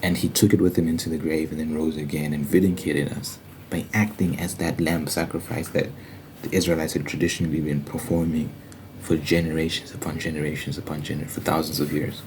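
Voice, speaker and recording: Man, low-pitched, dark soft-edged tone, ASMR Bible study, earnest and emphatic, noticeable background noise. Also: little pitch movement, a little fast, poor audio. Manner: earnest, emphatic, repeats phrases for weight. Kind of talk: ASMR Bible teaching, informal monologue.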